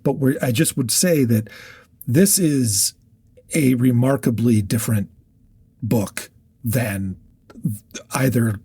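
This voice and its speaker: A man co-hosting a podcast, talking casually about books in a halting way, repeating words as he thinks. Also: mid-range voice, dark tone, slightly rough, dry recording, clean studio sound. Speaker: podcast co-host. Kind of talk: casual book discussion.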